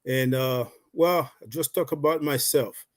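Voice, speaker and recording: A man's voice: medium pitch, fairly even intonation, a little slow. A middle-aged man from Haiti with a Haitian accent, a guest telling his life story in a rambling, casual way with many fillers. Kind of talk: casual live-stream storytelling.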